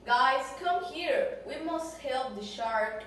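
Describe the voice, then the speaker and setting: A woman acting a caring sea creature in an amateur puppet show, with halting, non-native English phrasing. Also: high-pitched, lively intonation, fairly bright tone, heavily acted. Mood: concerned. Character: caring, alarmed sea creature friend in oil spill. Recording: echoey room, distant mic, noisy.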